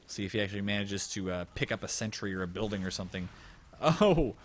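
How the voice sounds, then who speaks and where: A man's voice: fairly low pitch, expressive, quick pace, a little hiss or hum. A young man casting a live video game match, joking and rambling while losing his voice. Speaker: esports caster.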